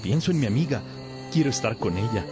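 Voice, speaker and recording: A man's voice: medium pitch, lively intonation, quick pace, natural voice. An actor playing a lovesick young man, a respectful pupil who speaks earnestly and hesitantly in a religious radio drama. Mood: troubled, longing. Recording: music behind the voice.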